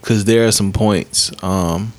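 A man in his late twenties hosts a casual podcast, rolling through the talk with a loose, joking manner and plenty of swearing. His voice sits fairly low, and he keeps his delivery easygoing. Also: steady tone, slow pace. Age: late 20s. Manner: laid-back, profane, joking. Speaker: podcast host. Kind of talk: casual chat.

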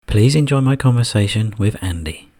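Male podcast host, low and gravelly, reads the script, soft music under it. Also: expressive, normal speed, background noise. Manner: reads a prepared introduction.

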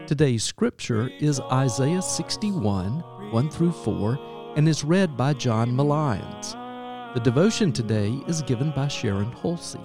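A man's voice: mid-range voice, animated, unhurried. Man introducing over guitar music. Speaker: podcast announcer. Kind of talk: scripted introduction.

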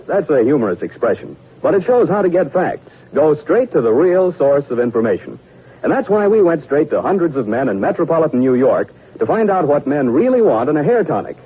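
A man's voice: fairly high pitch, a little hiss or hum. An American-accented man working as a radio announcer, reading a scripted 1940s sponsor message like a polished salesman. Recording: old muffled, grainy radio broadcast recording.

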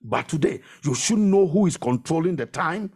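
A man's voice: a little high, animated, normal speed, darkish tone, slightly rough. A man preaching a sermon as a preacher, emphatic and exhortatory, repeating phrases to drive them home.

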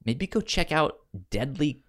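A man chatting casually as a podcast co-host, halting, with a stammer that repeats sounds and syllables. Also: fairly high pitch, lively intonation. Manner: halting, conversational. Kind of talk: casual podcast chat recommending comics.

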